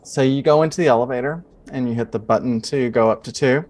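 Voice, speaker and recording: A man working as game master, narrating a tabletop adventure in a measured, descriptive, improvised way. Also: medium pitch, a little mellow.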